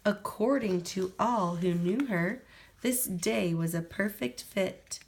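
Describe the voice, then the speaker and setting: Creaky-voiced woman reading a storybook slowly. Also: mid-range voice. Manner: expressive, deliberate storytelling. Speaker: storybook reader. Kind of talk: children's picture book read-aloud.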